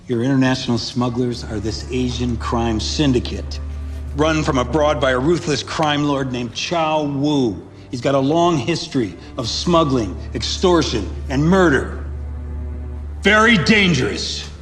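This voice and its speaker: An actor playing an irritated, blunt, skeptical police lieutenant in a video game cutscene. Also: male voice, mid-range voice, animated, a little slow, a bit bright. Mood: irritated, sarcastic. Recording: echoey hall, faint music behind.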